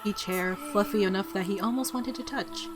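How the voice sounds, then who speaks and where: A woman's voice: mid-range voice, fairly quick. A woman doing gameplay commentary, reacting in a giggly way and reading story text aloud dramatically, with game music behind her. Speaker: game streamer, let's player. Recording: music under the voice.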